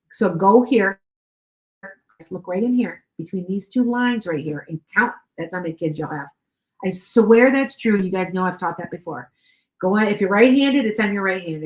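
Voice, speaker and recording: A middle-aged woman with a Chicago and Wisconsin accent, a psychic medium giving live-stream readings to viewers. She talks chattily, repeats herself and often checks whether her words make sense. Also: mid-range voice. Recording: echoey room.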